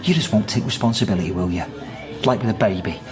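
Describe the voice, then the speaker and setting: An actor playing a disapproving, dutiful brother who reasons and lectures in naturalistic radio drama dialogue. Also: male voice, fairly low pitch, fast, music in the background, lightly acted. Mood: reproachful.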